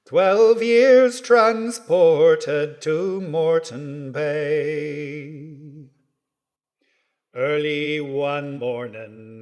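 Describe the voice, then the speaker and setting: Very slow male ballad singer, reverberant. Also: on the high side, a little mellow. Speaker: folk singer. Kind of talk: slow sung ballad with convict lament. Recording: long hall reverb.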